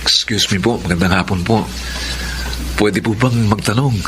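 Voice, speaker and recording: An actor playing a suave, flirtatious man, a smooth-talking playboy teacher in a Filipino radio drama. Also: on the low side, normal speed, noisy background, lightly acted. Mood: searching, polite.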